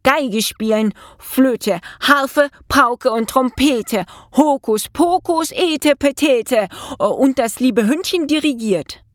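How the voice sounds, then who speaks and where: Woman voicing an excitable, high-pitched little boy mouse. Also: measured pace, no room sound, studio quality. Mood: gleeful, commanding. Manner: exuberant, chanting spells with relish. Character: excitable, gleeful young male magic mouse. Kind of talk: children's storybook character reading.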